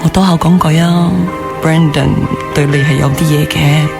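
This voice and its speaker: Low-voiced candid woman in a radio drama. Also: steady tone, moderate pace. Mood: wistful, candid. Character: candid, self-possessed woman, a wistful former girlfriend. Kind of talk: naturalistic radio drama dialogue. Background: music bed.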